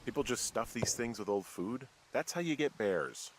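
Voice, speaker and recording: A man's voice: mid-range voice, lively intonation, moderate pace, background noise, lightly acted. An actor playing a weary, sarcastic everyman rookie fire lookout in a video game, speaking in a dry, reluctant, casual way. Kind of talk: naturalistic video game dialogue.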